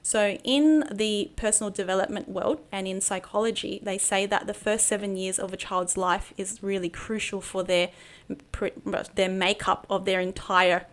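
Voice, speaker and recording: A middle-aged woman, a podcast guest and business coach, recounting her past in a halting way with many fillers and restarts. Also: medium pitch, steady tone. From Australia. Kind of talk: personal interview storytelling.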